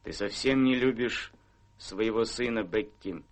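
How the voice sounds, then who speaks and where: An actor playing a worried, weary gentleman gambler husband in a radio play, glum and dryly ironic. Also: fairly low pitch, unhurried, close to an everyday voice, poor audio. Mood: dry, suspicious. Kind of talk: theatrical radio play acting.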